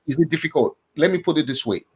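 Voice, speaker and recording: A man's voice: on the high side, fast, a little mellow, husky. A middle-aged man with a Nigerian accent, hosting a talk and explaining ideas about the brain, repeating key phrases and checking in with his listener. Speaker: host.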